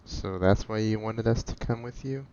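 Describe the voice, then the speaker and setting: A man's voice: fairly low pitch, normal speed, darkish tone. A man giving casual, chatty gameplay commentary, reacting aloud and muttering asides. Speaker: gamer. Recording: dull lo-fi mic with faint noise.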